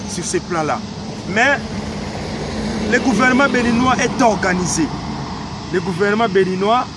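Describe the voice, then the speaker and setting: A Congolese man speaking earnestly to camera outdoors by a small lake, hesitant, with many fillers and restarts. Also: sits high. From Democratic Republic of the Congo. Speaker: vlogger giving political commentary. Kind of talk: casual outdoor talk to camera. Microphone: camera held by a cameraman. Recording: outdoor video with loud traffic noise.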